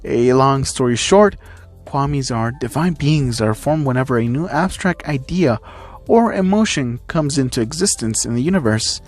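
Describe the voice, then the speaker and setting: A man's voice: medium pitch, animated, normal speed, faint music behind, natural voice. A man voicing a secretive, weary young woman hero in a narrated story. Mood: resigned explaining.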